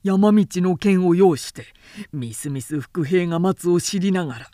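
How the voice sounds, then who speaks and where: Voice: mid-range voice, expressive, moderate pace, dark tone, dry recording, clean studio sound, close to an everyday voice. Woman reading as an urgent, deferential army officer. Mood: alarmed, protesting. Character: anxious, deferential male army officers advising lord.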